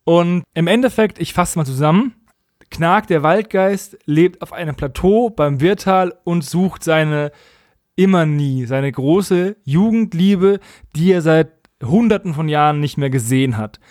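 A man's voice: on the high side, measured pace, darkish tone, no echo, clean studio sound. A man recapping stories as a podcast co-host in a colloquial, humorous, mockingly opinionated way. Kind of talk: casual humorous episode recap.

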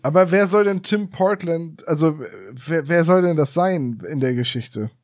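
Ein Mann, der in einem lockeren Podcast mitplaudert und trockene Witze macht. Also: male voice, on the high side, a little slow, no room sound. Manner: dry joking, tired. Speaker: podcast co-host. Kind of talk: casual podcast chat.

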